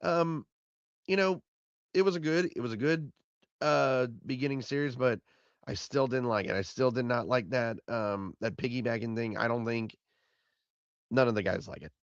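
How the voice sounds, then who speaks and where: A man hosts a solo postgame recap, his voice raspy and hoarse from illness and gravelly at the edges. He rambles and repeats himself in a plain middle register. The recording is dry and a little muffled. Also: medium pitch, moderate pace, no echo. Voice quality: raspy, fading voice. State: hoarse from being sick. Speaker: podcast host.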